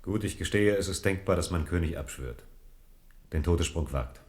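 Casting: devout envoy in radio play, low register, formal, earnest, declamatory. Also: male voice, expressive, normal speed. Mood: earnest, grave. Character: steadfast Protestant envoy to a queen, devout and earnest. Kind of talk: German radio drama acting.

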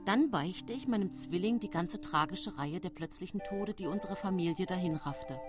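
An actress playing a secretive nineteenth-century woman poisoner who tells her own story in hushed, composed radio drama narration. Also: lowish voice, moderate pace, over music, lightly acted. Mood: tense, watchful. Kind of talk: intimate first-person radio drama narration.

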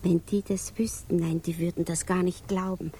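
An actress playing a naive, lovestruck and anxious woman in a 1950s German radio drama, confiding hesitantly and often slipping into breathy whispers. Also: on the low side, little pitch movement, normal speed, mellow, slight background noise, close to an everyday voice. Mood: agitated, troubled.

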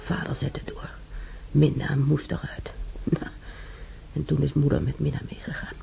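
An older woman acting an ailing, weary old woman reminiscing alone in a radio drama, halting and sighing, with a breathy voice. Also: low voice, flat delivery, warm tone, whispered, exaggerated voice. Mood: troubled. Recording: dull radio recording, faint noise.

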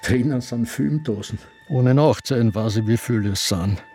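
An actor playing a gravelly old Viennese man. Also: mid-range voice, dark tone, natural voice. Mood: brooding memory. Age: older. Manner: halting, musing, confessional. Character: elderly man in a sanatorium, brooding, remembering. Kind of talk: radio play acting with inner monologue.

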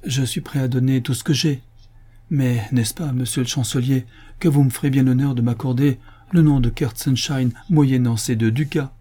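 A man's voice: mid-range voice, steady tone, normal speed, warm tone, husky, natural voice. A man voicing a humble, thrifty father in a storybook reading, plaintive, deferential and earnest. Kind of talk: audiobook character acting.

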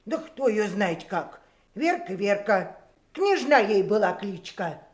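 Animated, grumbling child-minder. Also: female voice, medium pitch, slow pace. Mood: offhand, grumbling. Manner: colloquial, dismissive. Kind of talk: Soviet radio drama acting.

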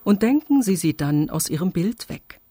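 A performer in a radio play as a calm instructor guiding listeners through an imagination exercise, measured and deliberate. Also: mid-range voice, slightly rough, dry recording. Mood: calm, instructing. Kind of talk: slow, scripted instructional radio-play narration.

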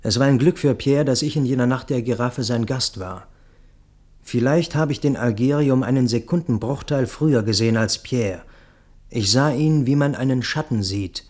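An actor playing a grave first-person narrator in a literary radio play, speaking in a measured, deliberate way. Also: male voice, medium pitch, a little mellow, close to an everyday voice. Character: grave male witness recounting a deadly night attack.

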